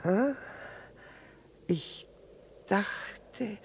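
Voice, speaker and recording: A husky jealous husband in a vintage radio play, nervous and evasive under elaborate politeness. His pitch leaps about as he stammers, doubles his words and abandons sentences halfway. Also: lively intonation, extremely slow, full character voice. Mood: hesitant pleading. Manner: stammering, repeats words, breaks off mid-sentence. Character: flustered jealous husband posing as a bachelor, evasive, over-polite. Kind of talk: 1960s German radio play acting.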